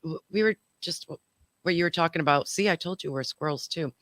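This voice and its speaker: A woman's voice: low-pitched, brisk, a bit bright, dry recording. A woman with a New England accent co-hosting a casual podcast chat, chatty and self-deprecating, laughing often. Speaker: podcast co-host.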